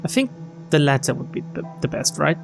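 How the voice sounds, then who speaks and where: A man's voice: fairly high pitch, big pitch swings, a little fast, creaky, soft background music. A man streaming a game, reading its narration aloud expressively with wry, casual commentary. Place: game stream with music behind.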